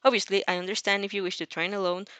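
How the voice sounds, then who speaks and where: Woman, medium-pitched voice, informal story reading, chatty rambling asides, giggly manner, dry room sound. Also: flat delivery, very fast, fairly bright tone, clean tone, no echo. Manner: rambling, giggly, often interrupts herself with asides. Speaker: host reading and reacting to fan fiction. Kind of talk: casual reaction chat and expressive story reading.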